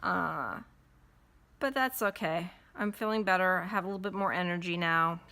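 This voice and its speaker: A congested woman chatting casually as she stitches in a home vlog, in an unhurried, friendly way. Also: fairly low pitch, pushed and bright. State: congested from a cold. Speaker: cross-stitch vlogger. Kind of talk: casual chat while stitching. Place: at home with windows closed.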